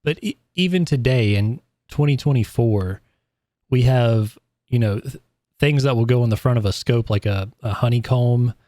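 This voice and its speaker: A man's voice: mid-range voice, moderate pace, mellow, dry recording, studio quality. A man co-hosting a podcast in casual chat, opinionated and arguing his point with hesitant restarts. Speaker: podcast co-host.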